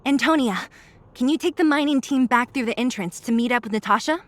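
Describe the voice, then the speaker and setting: A woman's voice: fairly high pitch, fairly quick, no echo, natural voice. A woman voicing a tough, blunt, confident young fighter in a video game, wry and self-assured. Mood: blunt, resolute. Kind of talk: video game cutscene acting. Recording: light music behind the voice.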